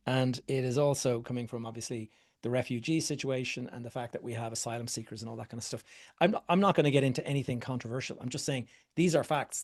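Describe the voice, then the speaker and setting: Middle-aged man from Ireland, workshop coach, mid-range pitch, conversational and plain. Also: darkish tone. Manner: conversational, repeats words, asks questions and answers them. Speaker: property investment coach. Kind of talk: workshop talk to a live group.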